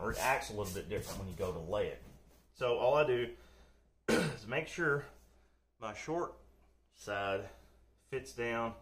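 A man's voice: mid-range voice, a little hiss or hum. Folksy joking man teaching floor work. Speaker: DIY channel host. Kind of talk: casual how-to instruction. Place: indoors, room under renovation.

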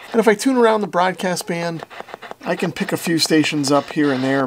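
A man's voice: on the high side, fairly bright tone, slight background noise, low-quality recording. An American man in his forties giving a plain-spoken, methodical repair tutorial as a channel host in a small workshop. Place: small indoor workshop with concrete walls.

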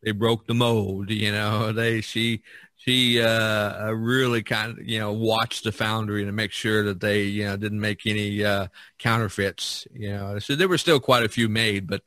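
A man with a lowish voice hosts a casual podcast discussion. He feels his way along in a hesitant, meandering manner. The sound is dry and close. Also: flat delivery, measured pace, pushed and bright, no echo. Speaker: podcast host.

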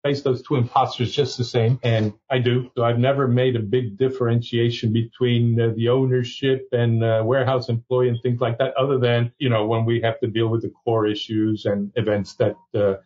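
Cuban-born legal exec, candid folksy talker, mid pitch, dull remote line. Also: male voice, flat delivery, normal speed, few pauses, a little mellow. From Cuba. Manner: candid, folksy, chuckles often, says "okay?". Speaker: chief legal officer, guest. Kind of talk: conversational interview.

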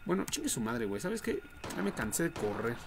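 A young man with a Mexican accent commentates a horror game live in slangy, profane bursts. His voice has a gravelly fry in it. The home livestream recording is noisy, with game ambience behind him. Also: medium pitch, very animated, brisk. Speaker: game streamer.

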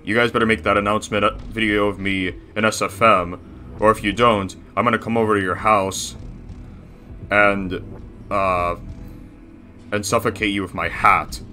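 A man's voice: fairly low pitch, level tone, moderate pace, pauses often, sharp tone. A man voicing a boastful, bossy cartoon bear boss in rambling, self-satisfied improvised banter. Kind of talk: improvised character reaction banter.

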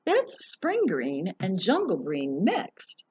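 A woman's voice: mid-range voice, huge pitch swings, measured pace. A woman voicing a spirited young girl who loves coloring, reading from a picture book. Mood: delighted.

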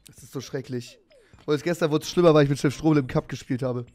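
Hoarse man with a raised pitch. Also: quick pace, faint music behind. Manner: relaxed, joking banter. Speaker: streamer. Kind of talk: casual gaming chat.

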